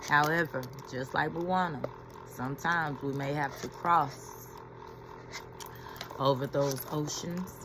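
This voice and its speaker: A woman's voice: low-pitched, steady tone, slow, noisy background. A woman giving a reflective inspirational talk as a spiritual healing coach, repeating key phrases and laughing as she retells a story.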